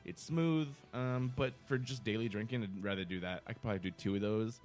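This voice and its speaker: A man's voice: on the low side, fairly quick, a little mellow, faint music behind. A man hosting a casual, boozy podcast, chatting loosely and swearing freely. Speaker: podcast host.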